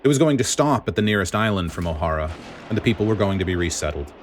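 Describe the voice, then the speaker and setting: A man reading a story aloud as dramatic scripted narration, in a grave, deliberate manner. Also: low voice, lively intonation, soft background music. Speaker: podfic reader.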